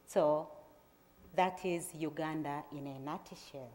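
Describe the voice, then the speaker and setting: A middle-aged Tanzanian woman with a Tanzanian accent, giving a measured, plain presentation to an audience, with frequent pauses. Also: sits low, darkish tone. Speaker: agricultural researcher giving a talk. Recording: reverberant lecture hall.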